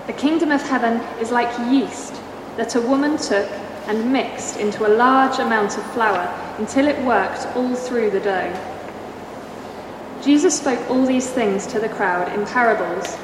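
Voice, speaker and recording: Woman with a slightly high voice, reading scripture steadily, heard from far off in a noisy hall. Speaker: scripture reader. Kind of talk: Bible reading in church. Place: church hall.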